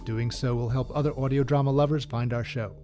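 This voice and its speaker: A man's voice: mid-range voice, steady tone, a little fast, warm tone, faint music behind. A man announcing scripted credits for an audio drama.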